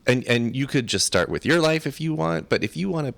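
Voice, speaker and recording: Gravelly-voiced male podcast host. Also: on the high side, lively intonation, fairly quick, no echo. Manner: friendly, chatty, encouraging interjections. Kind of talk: podcast narration and interview.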